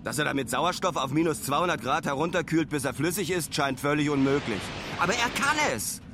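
A man's voice: a little high, expressive, fairly quick, background noise, lightly acted. A man playing a weary traveler who gives a diary-like radio drama account from a decayed launch site, in German. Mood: wary, observant. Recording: loud outdoor machinery and vehicle ambience.

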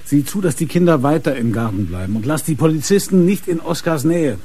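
A man's voice: on the high side, animated, a little fast, warm tone, husky. An actor playing a commanding, terse police inspector and father in a radio crime drama. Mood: urgent, controlled. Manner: terse, urgent, giving orders.

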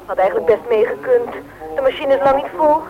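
A concerned, chatty woman, played by an actress, talks in a radio play. Her pitch is high. The old recording is dull, with a clear murmur of people behind her. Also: brisk, a crowd in the background, lightly acted. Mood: worried.

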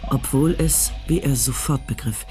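A man's voice: medium pitch, normal speed. Male radio-play narrator over music.

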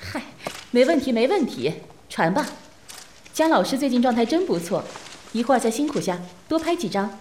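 An actress playing an effusive, flattering magazine editor in a Mandarin audio drama, gushing and obliging. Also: female voice, on the high side, moderate pace, slight background noise. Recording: music behind the voice.

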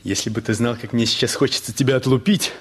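A man's voice: mid-range voice, fairly even intonation, fast talker, breathy edge, natural voice. An actor playing a self-assured, dry teenage boy with a curt manner in a radio play. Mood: irritated. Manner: curt, dismissive.

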